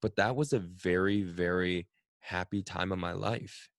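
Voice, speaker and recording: Brief: male host, 30s, Cantonese native, very low voice, dry close sound, musing and chatty. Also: steady tone, unhurried, no room sound. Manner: reflective, frequent "right?" tag questions. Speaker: podcast host. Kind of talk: casual conversational interview.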